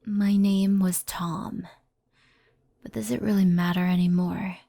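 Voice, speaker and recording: A woman voicing a gentle, loyal, slightly dazed resurrected yokai in an ASMR roleplay, soft and halting in delivery. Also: mid-range voice, little pitch movement, dry recording, clean studio sound. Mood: dreamy, content. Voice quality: soft, creaky.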